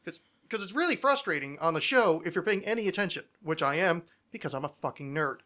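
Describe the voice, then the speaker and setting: A man's voice: a little high, expressive, fast, bright, forceful tone. A man hosting a podcast, chatting casually in an opinionated, nerdy, swearing rant. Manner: ranting, profane, nerdy. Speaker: podcast host.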